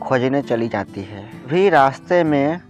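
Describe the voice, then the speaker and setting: Slow storybook narrator. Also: medium pitch, animated, soft background music. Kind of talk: scripted children's story narration.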